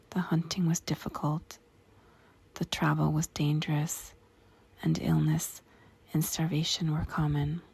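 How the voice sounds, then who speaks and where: A woman leads a meditation in a very breathy, whispered voice full of heavy creak. She speaks slowly, in short deliberate phrases with long pauses between them. Her delivery stays hushed and measured. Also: low-pitched. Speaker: meditation guide. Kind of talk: guided meditation narration with spacious pauses.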